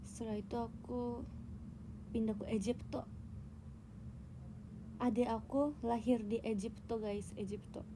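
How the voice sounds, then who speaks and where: A woman's voice: on the high side, steady tone, unhurried, long pauses, noisy background. A young Indonesian woman chatting casually and teasingly with her viewers on a phone livestream. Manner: playful, teasing, tells tall tales. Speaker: idol livestreamer.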